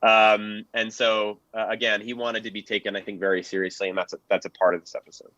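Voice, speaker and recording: A man talking informally as a panelist on history, explaining in a measured, analytical way. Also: lowish voice, level tone, sharp tone, dry recording, muffled recording. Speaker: panelist, radio history commentator.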